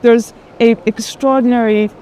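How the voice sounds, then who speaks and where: A woman with a Colombian accent, speaking thoughtfully in conversation on an art fair tour, often pausing and repeating words. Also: fairly high pitch, fairly even intonation, warm tone. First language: Spanish. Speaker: art advisor. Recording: faint urban ambient noise.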